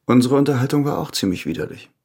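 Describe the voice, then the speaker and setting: An actor playing an embittered, guarded former prisoner in a radio crime drama, speaking tersely and with control. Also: male voice, mid-range voice, animated, fast talker, darkish tone. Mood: cool. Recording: clearly noisy background.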